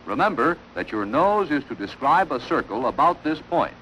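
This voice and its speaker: A man delivering formal, measured instructional narration in the style of a wartime training film, on an old film soundtrack. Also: mid-range voice, sing-song, pushed and bright, a little hiss or hum, low-quality recording.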